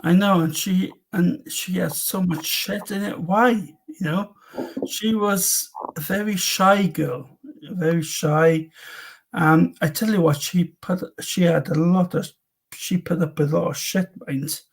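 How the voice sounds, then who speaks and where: Slow gravelly man, swearing freely. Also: high voice, fairly even intonation. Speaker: guest. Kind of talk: casual chat.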